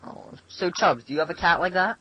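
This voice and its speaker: A man's voice: sits high, brisk, dull audio. A young man giving casual, crude game commentary into a headset mic, teasing his friends and laughing often. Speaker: gameplay commentator.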